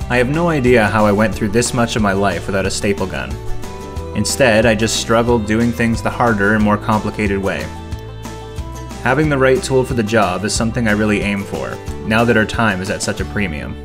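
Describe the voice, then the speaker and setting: Low-voiced man narrating over guitar music. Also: brisk. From Canada. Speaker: homesteader and narrator. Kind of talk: scripted narration.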